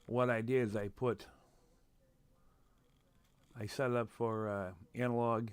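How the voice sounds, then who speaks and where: A man from New England hosting a casual, unscripted livestream, halting and repeating himself as he thinks aloud. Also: medium pitch, flat delivery, clean tone, no room sound. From New England, United States. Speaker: livestream host, radio scanner hobbyist. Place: room at home. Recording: noticeable background noise, home livestream.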